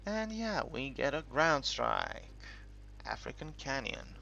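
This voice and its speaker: A man giving casual, unscripted game commentary in a halting, rambling way, as if thinking aloud while he explains things. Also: medium pitch, sing-song, creaky, sharp tone, a little hiss or hum. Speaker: gaming video creator narrating a tutorial. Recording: dull lo-fi home recording, music behind.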